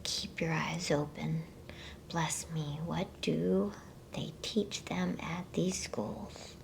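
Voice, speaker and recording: A woman whispering softly in a soothing, unhurried way, as an ASMR host reading bedtime stories and chatting to listeners. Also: low-pitched, steady tone, noisy background. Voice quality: breathy whisper.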